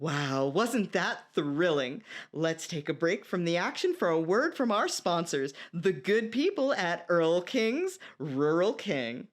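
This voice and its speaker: A woman's voice: lowish voice, sing-song, slow pace, few pauses. A woman reading scripted stage narration for a radio play.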